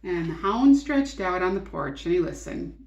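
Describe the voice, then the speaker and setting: A woman teacher reading a storybook aloud to young children, expressively, pausing to ask questions and explain things simply. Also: on the low side, big pitch swings, darkish tone. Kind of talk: interactive storybook read-aloud and lesson. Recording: echoey, roomy sound.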